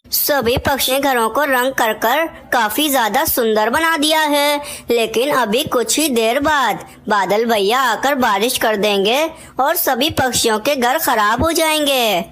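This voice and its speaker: A scheming male cartoon crow, acted out by a woman, pitched fairly high. He is boastful and self-satisfied, always plotting, in the manner of a children's animated story. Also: unhurried, hardly any pauses. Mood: smug, scheming.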